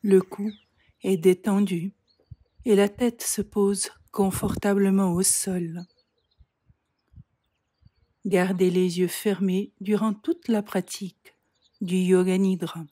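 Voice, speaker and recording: A woman guiding a yoga nidra relaxation in a calm, soft, breathy voice, giving measured instructions with frequent pauses. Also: mid-range voice. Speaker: yoga nidra meditation guide. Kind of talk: slow guided relaxation meditation.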